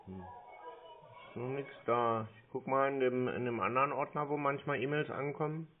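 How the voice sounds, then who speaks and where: A man's voice: mid-range voice, moderate pace. A man on a casual phone call, answering as a clueless customer in a hesitant, colloquial way. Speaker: prank scam-baiter playing a clueless customer. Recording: noisy, muffled phone line with clicks.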